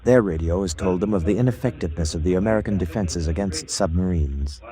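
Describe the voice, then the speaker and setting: A man's voice: low voice, fast talker. A man giving grave, atmospheric scripted narration for a radio drama, told in the present tense. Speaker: scene narrator. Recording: loud sound-effect ambience behind voice.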